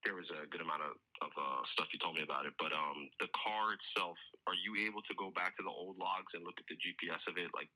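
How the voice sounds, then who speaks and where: A man's voice: deep voice, fast, few pauses, bright, forceful tone. A man talking informally as a police detective on a recorded work phone call, explaining things in a hedging, conversational way.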